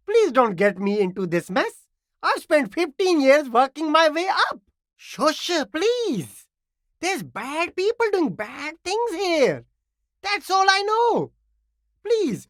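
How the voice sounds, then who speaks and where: An actor playing an immigrant street vendor in a video game, an eager, defensive hustler with ingratiating, evasive sales patter. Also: male voice, extremely high pitch, a bit bright, no room sound, studio quality, heavily acted. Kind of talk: video game character dialogue.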